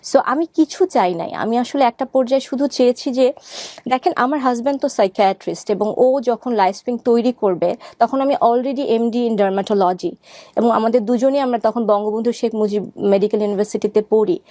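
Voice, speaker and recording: A woman's voice: fairly high pitch, a little fast, warm tone, no echo. A middle-aged Bangladeshi woman speaking earnestly and reflectively as an interview guest, often switching into English. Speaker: interview guest, doctor and parenting content creator. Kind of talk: reflective personal talk in an interview.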